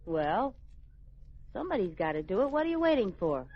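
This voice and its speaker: An actress playing an affectionate, teasing wife in a 1940s radio sitcom. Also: female voice, medium pitch, big pitch swings, a little fast, slightly rough. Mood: weary, nagging. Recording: dull, noisy old radio recording.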